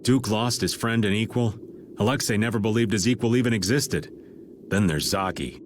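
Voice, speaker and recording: A man's voice: lowish voice, normal speed, slight background noise, lightly acted. A man playing a wry, theatrical middle-aged rogue in a video game, with a playful, folksy drawl. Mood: musing. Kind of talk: English video game voice acting.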